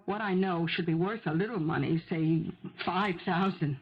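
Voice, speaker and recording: A woman's voice: lowish voice, normal speed, breathy edge. A woman playing a desperate, stubborn mother turned amateur sleuth in a radio mystery drama, emotional and insistent. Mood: demanding. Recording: muffled 1970s radio drama recording.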